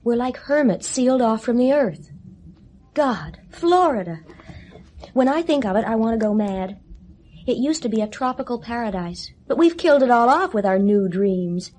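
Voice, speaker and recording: A woman's voice: fairly high pitch, expressive, normal speed, a little mellow. A bitter, despairing woman. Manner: bitter, emotional. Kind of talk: 1970s radio drama acting. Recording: noisy old radio recording.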